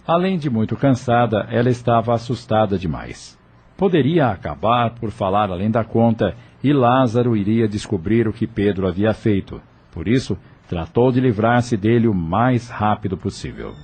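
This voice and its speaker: Narrator for audio novel: male, Brazilian accent, slightly deep, raspy voice, scripted storytelling. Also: expressive, normal speed, darkish tone.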